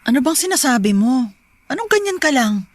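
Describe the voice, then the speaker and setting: A woman playing a gentle, supportive young mother in a Filipino radio drama, with a hesitant manner. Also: high-pitched, very animated, brisk, no echo. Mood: reassuring.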